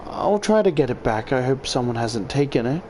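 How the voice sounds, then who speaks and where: A man's voice: medium pitch, lively intonation, fairly quick, a little mellow, a little hiss or hum, natural voice. A man voicing an earnest, anxious and affectionate teenage schoolgirl in a visual novel. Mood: worried.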